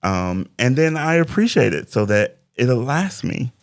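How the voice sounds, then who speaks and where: A man's voice: medium pitch, big pitch swings, measured pace, no room sound, clean studio sound. A man in his forties speaking as an interview guest and life coach, talking reflectively about faith and planning. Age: 40s. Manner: reflective, faith-driven talk. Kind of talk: casual interview conversation.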